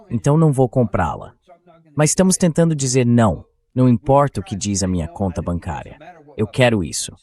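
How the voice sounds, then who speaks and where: A man's voice: medium pitch, darkish tone. A man with a mid-range voice hosts a political interview. He speaks as a dubbed voice-over of translated conversation, laying out his points at an ordinary pace. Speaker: host.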